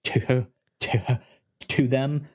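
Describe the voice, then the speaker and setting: A man co-hosting a casual film discussion, speaking haltingly with frequent stammers and repeated words, sometimes animated. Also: medium pitch, husky. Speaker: podcast co-host.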